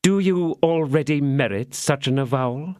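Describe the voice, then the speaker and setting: A man's voice: fairly high pitch, normal speed, a little mellow, no echo, studio quality, voice pushed up, lightly acted. A man voicing a scheming vindictive noblewoman. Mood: coaxing.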